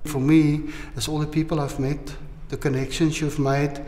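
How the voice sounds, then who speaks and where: Male interviewee, fairly high-pitched, conference attendee, strong hall echo. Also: level tone, moderate pace, a little mellow. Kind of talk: spontaneous interview answer. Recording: echoey hall.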